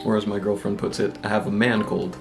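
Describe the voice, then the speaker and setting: A young man with a cold, congested, chatting casually and rambling in a relaxed, self-deprecating way as a book vlogger. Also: fairly low pitch, fairly quick, over music. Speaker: booktube vlogger. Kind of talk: casual vlog chat.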